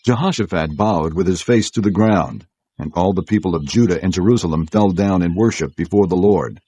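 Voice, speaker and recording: Low husky guy narrating scripture, measured and solemn, dry studio sound. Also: warm tone, no room sound. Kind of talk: scripted narration of an audio Bible.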